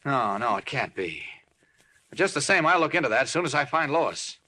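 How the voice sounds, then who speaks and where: A man's voice: mid-range voice, big pitch swings, moderate pace, bright, forceful tone. An actor playing a worried, fretful man in a 1940s radio drama. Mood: anxious. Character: fretful, worried male newspaper reporter.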